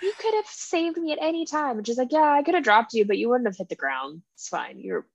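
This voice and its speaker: Young woman, high voice, dry close sound, lively book chat, laughs a lot. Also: brisk, gravelly, no echo, lo-fi sound. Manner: animated, laughing, acts out characters' lines. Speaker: podcast co-host. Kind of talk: casual chat about books.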